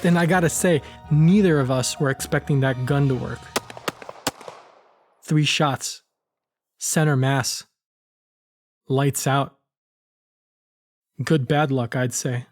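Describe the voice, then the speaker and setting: A man's voice: medium pitch, steady tone, takes it slowly, pauses often, no echo, faint music behind, lightly acted. A man playing a cocky, wry tough guy who narrates his own hardboiled noir crime story in slangy language. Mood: cool, smug.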